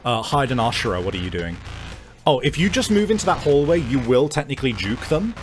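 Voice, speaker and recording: Man, game master, average pitch, improvised halting narration, tabletop roleplay, faint music bed. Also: very animated.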